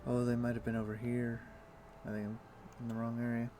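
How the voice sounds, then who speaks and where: A man's voice: mid-range voice, monotone, a little fast. A man casually chatting and thinking aloud while he plays a game on a live stream. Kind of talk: casual chat while playing. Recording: noisy home stream audio.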